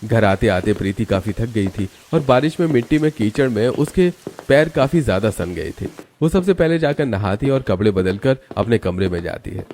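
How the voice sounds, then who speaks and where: A man narrates a cartoon family story from a script. His voice sits fairly low. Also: expressive, moderate pace, a little mellow, a little hiss or hum.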